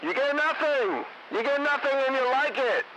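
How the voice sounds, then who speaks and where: A man's voice: very high voice, lively intonation, normal speed, muffled recording. A man in casual radio banter with a brash, foul-mouthed, mocking manner. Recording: very noisy, hissy CB radio.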